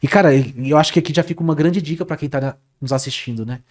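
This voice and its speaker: Male guest, casual animated storyteller, repeats himself, lots of fillers. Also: fairly high pitch, fast talker. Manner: animated, repeats words, says "cara" often. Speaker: guest, Portuguese teacher.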